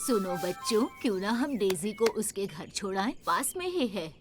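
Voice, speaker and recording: A woman voicing a kind, gentle grandmother rabbit in a dubbed cartoon, soothing and reassuring with the children. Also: mid-range voice, expressive, normal speed, close to an everyday voice. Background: children's music.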